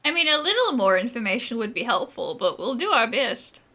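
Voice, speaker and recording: A woman's voice: fairly high pitch, very animated, a little fast, a crowd in the background. A woman voicing a wry, teasing companion in video game dialogue, with a sarcastic edge. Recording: game music behind the voice.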